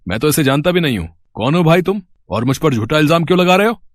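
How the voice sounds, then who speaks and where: A smooth-talking village landowner played by a man from a storybook. His voice drops low and is closely recorded and dry, with the slippery manner of a two-faced man. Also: expressive, fast talker, dry recording, lightly acted. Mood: indignant denial. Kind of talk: acted storybook dialogue.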